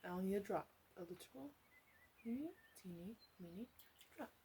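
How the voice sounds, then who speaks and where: A woman playing a flirty, teasing witch in an ASMR roleplay, coaxing the listener softly with playful asides. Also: mid-range voice, animated, fairly quick, slight background noise, natural voice. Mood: reassuring, playful. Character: teasing, flirty witch in her three hundreds.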